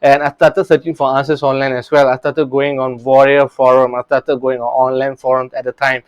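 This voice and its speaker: A man's voice: mid-range voice, little pitch movement, a little fast, clear, resonant tone, dry recording, muffled recording. A man in his thirties from Singapore, a real estate coach talking earnestly about his early career, often restarting his sentences. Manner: earnest, many restarts and "you know, right?" tags.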